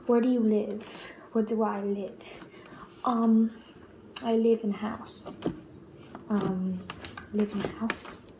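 A woman's voice: mid-range voice, flat delivery, takes it slowly. Rambling teenage girl in a noisy, echoey room. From Australia. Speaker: vlogger. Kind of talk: casual question-and-answer chat to camera. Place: small bare-walled room at home. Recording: roomy, loud background noise, clicks.

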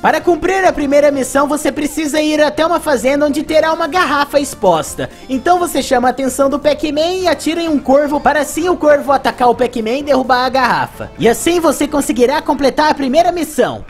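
A Brazilian video game reviewer with a high-pitched voice narrates retro game commentary. Faint music plays behind the scripted delivery. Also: animated, fast talker, hardly any pauses. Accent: Brazilian Portuguese.

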